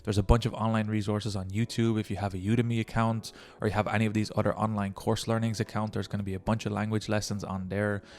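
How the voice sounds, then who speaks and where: A man's voice: lowish voice, level tone, fast, few pauses. A young man giving encouraging language-learning tips in a casual vlog, talking straight to the viewer. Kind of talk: casual tutorial vlog talk.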